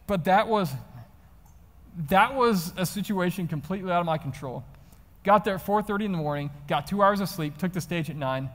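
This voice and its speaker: An American man giving a motivational talk to an audience, telling personal stories in an animated, conversational way. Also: a little high. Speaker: motivational speaker. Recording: reverberant hall, live stage sound.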